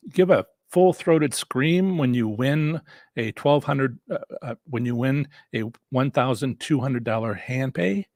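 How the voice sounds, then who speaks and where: A man with a middle-pitched, husky voice that sounds a little worn from overuse. He teaches casually as a live-stream host, halting and circling back over his phrases. The recording is dry and close, with little room sound. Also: a little mellow, dry recording. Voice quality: slightly rough, husky voice. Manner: halting, rambling, repeats and restarts phrases. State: voice worn from a lot of talking. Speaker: host and instructor of a live stream on slots strategy.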